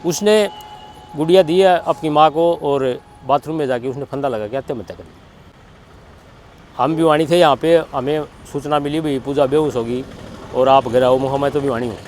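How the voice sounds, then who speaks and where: A man's voice: medium pitch, normal speed, a little mellow, a little hiss or hum. A middle-aged man with a Haryanvi accent recounting events in a plain-spoken, spontaneous testimony, often quoting what others said. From Haryana, India. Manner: plain-spoken, quotes reported dialogue as he narrates. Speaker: bereaved father giving a statement.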